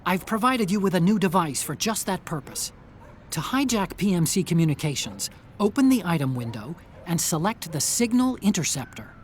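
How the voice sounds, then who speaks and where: A man's voice: sits high, big pitch swings, moderate pace. A clever, earnest male technician guiding an agent in a video game. He explains things step by step in a methodical briefing style, over faint background noise. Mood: serious, instructive.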